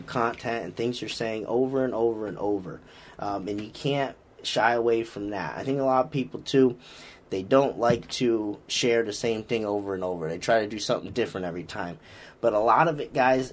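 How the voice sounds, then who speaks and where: A man with a Boston accent, a strength coach chatting casually on a podcast, rambling with lots of fillers and restarts. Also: mid-range voice. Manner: rambling, frequent fillers and restarts, upbeat about lifting.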